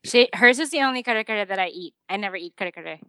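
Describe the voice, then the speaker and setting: A woman co-hosting a casual podcast, reacting quickly and laughing often. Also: medium pitch, lively intonation, pushed and bright, smooth, dry recording. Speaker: podcast co-host. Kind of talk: casual chat.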